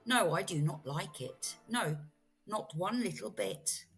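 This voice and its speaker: A woman's voice: fairly low pitch, very animated, slow pace, soft background music. A woman voicing an anxious, indignant male pet fish who scolds and protests fussily in a children's storybook. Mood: displeased. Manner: fussy, emphatic protesting. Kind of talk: children's storybook read-aloud.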